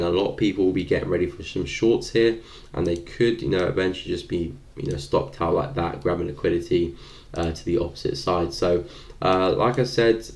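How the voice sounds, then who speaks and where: Man, very deep, gravelly, relaxed live-stream commentary. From London, UK. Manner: relaxed, thinking aloud, reading out viewer comments.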